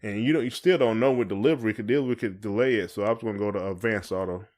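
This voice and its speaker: A man's voice: on the low side, racing, a little mellow. An American man co-hosting a podcast, talking in a casual, informal and colloquial way. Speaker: podcast co-host. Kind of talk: casual conversational chat.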